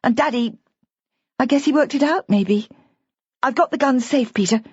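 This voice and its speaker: An urgent, insistent young wife with a high, climbing voice, as a woman reads her lines. She is husky, and the audiobook recording sounds a little dull and dry. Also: normal speed, no room sound. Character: young wife, forceful and pleading.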